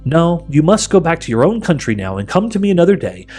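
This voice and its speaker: A man's voice: on the high side, sing-song, fast talker, darkish tone, faint music behind, voice pushed up. A man voicing a sly, coaxing witch queen for a storybook, speaking in a grand, persuasive, commanding way. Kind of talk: audiobook character voice.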